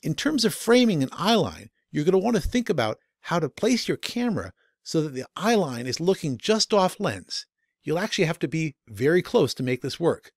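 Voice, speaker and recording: A man's voice: on the high side, very animated, moderate pace, no echo, studio quality. A man narrating a scripted instructional video as the instructor.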